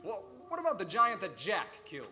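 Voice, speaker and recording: Good-humored, gently bashful male neighbor, acted by a man: animated delivery, radio drama. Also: sits high, brisk, slight background noise, full character voice. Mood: playful. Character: friendly, slightly bashful bachelor neighbor. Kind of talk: radio play acting.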